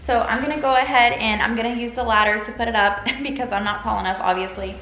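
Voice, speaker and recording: A cheerful, chatty woman explains her decorating steps as she works, her voice bright and a little high. She is recorded in an echoey room at home. Soft music plays faintly behind her. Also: steady tone, fast, slight background noise. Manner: upbeat, chatty, lots of "go ahead" and "you guys". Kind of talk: casual tutorial chat.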